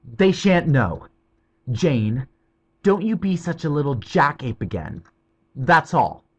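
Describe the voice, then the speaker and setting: Man voicing a bossy young boy, husky. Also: a little high, very animated, takes it slowly, pitched above the performer's own voice, heavily acted. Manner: bossy, reassuring. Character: bold patronizing young boy.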